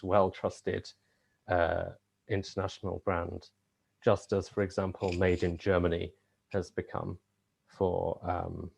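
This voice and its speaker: A man speaking as a party candidate at a hustings, in a halting way with many pauses and repeated words. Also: fairly low pitch, vocal fry, a little mellow. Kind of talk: hustings speech.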